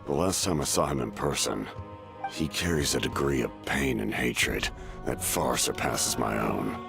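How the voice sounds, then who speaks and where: An ancient immortal warrior from a video game, with an extremely low, creaky voice. He is grave, proud and honourable, speaking formally as one worn down by centuries. Music plays clearly behind him. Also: exaggerated voice. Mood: grave, weary. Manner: measured, solemn, formal.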